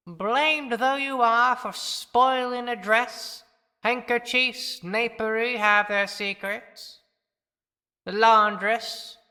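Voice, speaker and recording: A woman playing a weary, knowing small-town laundress who looks back from the grave in a measured audio play monologue. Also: mid-range voice, bright, forceful tone. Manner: slow, measured, reflective. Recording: long echoing hall reverb.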